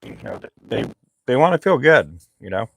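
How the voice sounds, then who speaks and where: A middle-aged man who makes fishing lures, chatting casually on his live stream in a relaxed, rambling way with lots of fillers. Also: medium pitch, moderate pace, creaky, no echo, rough recording. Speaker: lure maker live streamer.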